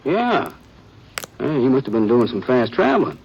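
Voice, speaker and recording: A man's voice: mid-range voice, very animated, moderate pace, gravelly, darkish tone. An actor playing a chatty, folksy small-town lawman in an old-time radio western, with an American accent and a musing way of talking. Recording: muffled, noisy vintage radio recording.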